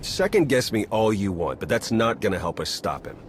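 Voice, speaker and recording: A man's voice: on the low side, big pitch swings, a little fast, a little hiss or hum. An actor playing a wry, stubborn, battle-worn male spy in a video game, sardonic and plain-spoken. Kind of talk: video game dialogue acting.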